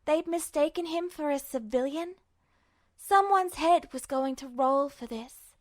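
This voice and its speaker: A woman reading fiction aloud as a scripted story narrator, measured, with dramatic pauses. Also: high voice. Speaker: amateur audiobook reader.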